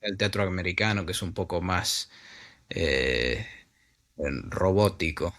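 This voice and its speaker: A man with a low, Argentine Spanish voice, speaking as an actor in an interview. He talks in a relaxed, unhurried way, often repeating words and pausing with fillers while he works out his thoughts. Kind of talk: casual conversation.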